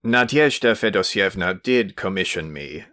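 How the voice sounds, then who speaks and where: A man doing a low, grumbling annoyed gentleman. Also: expressive, moderate pace. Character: weary, annoyed grown man, dry.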